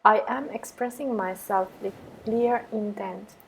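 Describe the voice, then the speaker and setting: A woman meditation teacher guiding affirmations in a calm, measured way with pauses between phrases. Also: medium pitch, a little hiss or hum, low-quality recording. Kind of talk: guided meditation with repeated affirmations.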